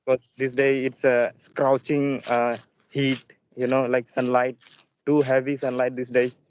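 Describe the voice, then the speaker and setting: A man's voice: mid-range voice, level tone, unhurried, darkish tone, clean tone, no echo, lo-fi sound. A young man from Jharkhand whose first language is Santhali, a student practicing English on a video call, speaking hesitantly with many fillers and a polite "ma'am". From Jharkhand, India. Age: young adult.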